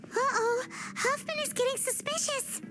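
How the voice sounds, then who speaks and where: A woman voicing a tiny, excitable, childlike fairy companion in a video game, exclaiming dramatically. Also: extremely high pitch, moderate pace. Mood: panicked. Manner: exclamatory, dramatic, speaks of self in third person. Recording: loud music behind the voice. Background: loud game music.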